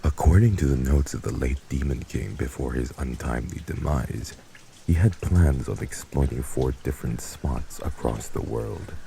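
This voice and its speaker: A man's voice: extremely low pitch, moderate pace, creaky, dark tone, slight background noise, low-quality recording. A man playing a heartless vampire lord and crime boss in a fantasy audio roleplay, speaking in a pompous, archaic, menacing way. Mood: grave, instructive.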